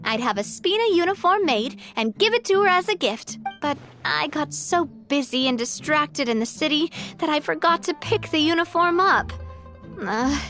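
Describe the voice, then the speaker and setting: A poised young gang leader, bright and high in pitch and gracious in her phrasing. Faint game music runs under her voice. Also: animated, normal speed. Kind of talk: video game voice acting.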